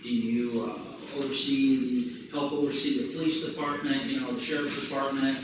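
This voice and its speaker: A man's voice: medium pitch, flat delivery, normal speed. Guy at a town meeting asking long winded questions, far off mic, lots of echo and noise. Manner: rambling, restarts his questions. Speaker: community member asking questions. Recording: echoey room, distant mic, background noise.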